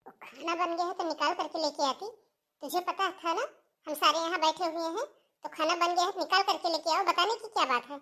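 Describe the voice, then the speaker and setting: A woman voicing a bossy, taunting older mother-in-law in a cartoon family drama, with a sharp, nagging manner. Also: very high-pitched, fairly quick, full character voice. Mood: scolding.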